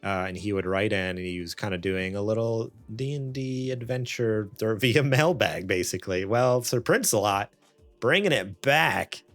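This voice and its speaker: A man's voice: lowish voice, moderate pace, a bit bright, no room sound. A man of about thirty hosting a podcast with casual, jokey, self-deprecating banter. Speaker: podcast host. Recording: music playing behind. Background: background music.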